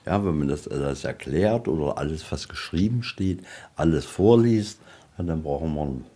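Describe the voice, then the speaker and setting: A man with a husky voice giving an informal, explanatory guided tour as a museum guide. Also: very deep voice, expressive, moderate pace, warm tone. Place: indoors in a museum.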